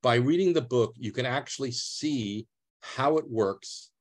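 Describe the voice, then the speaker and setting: A man's voice: medium pitch, measured pace. A man, giving an earnest, plain-spoken talk over a video call as a retired public defender. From US East Coast.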